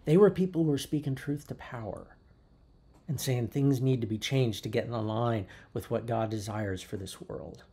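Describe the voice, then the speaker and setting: Mellow, husky-voiced man preaching. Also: mid-range voice. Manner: thoughtful, unhurried preaching with frequent restarts. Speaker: pastor. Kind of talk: sermon. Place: church sanctuary.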